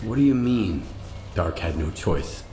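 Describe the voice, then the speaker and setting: An actor voicing a hardened stalker in a game cutscene, speaking in a blunt, wary, questioning way. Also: male voice, sits low, sing-song, measured pace, mellow, slight background noise. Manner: blunt, questioning, plain-spoken. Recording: roomy game cutscene with music.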